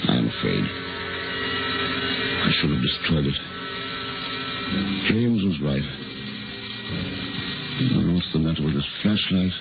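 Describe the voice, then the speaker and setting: A man's voice: very deep voice, big pitch swings, measured pace, gravelly, pauses often, over loud music, full character voice. An actor playing an anguished, secretive scientist protective of his creation, in 1950s radio suspense style. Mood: frightened. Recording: noisy, narrow-band 1950s radio recording.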